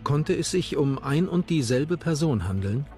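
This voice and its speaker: A man's voice: fairly high pitch, lively intonation, a little slow, darkish tone. Male true-crime narrator over music. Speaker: documentary narrator. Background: background music.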